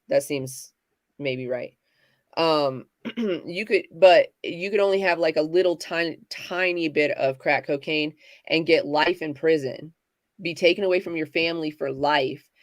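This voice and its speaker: A woman co-hosting a casual livestream talk, speaking in halting bursts with many fillers and restarts. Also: low-pitched, no echo, clean studio sound. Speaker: podcast co-host.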